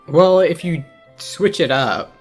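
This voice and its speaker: A man's voice: a little high, slow. A man doing casual playthrough commentary as a gaming streamer, reading dialogue choices aloud. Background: game music.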